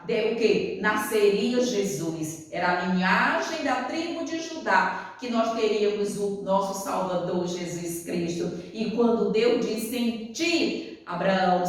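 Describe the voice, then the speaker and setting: An older woman in her sixties leads a devotional Bible study. She keeps posing questions to her listeners and then answering them herself. Her voice sits at an ordinary middle pitch and comes from some distance off the mic, and a very echoey room rings around it. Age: 60s. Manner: asks rhetorical questions and answers them, addresses listeners as dear ones.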